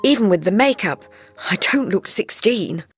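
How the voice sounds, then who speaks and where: A male narrator raises his voice into a nervous, quick-witted teenage girl, lifted well above his own pitch and moving lively up and down. Soft music plays underneath. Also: breathy edge, exaggerated voice. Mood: relieved. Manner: nervous, careful.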